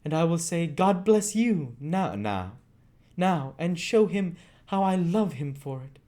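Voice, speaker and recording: A man voicing an excitable, affectionate young orphan boy in a storybook reading, with gushing, emotional delivery. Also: fairly high pitch, slow pace, pitched above the performer's own voice. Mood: fervent.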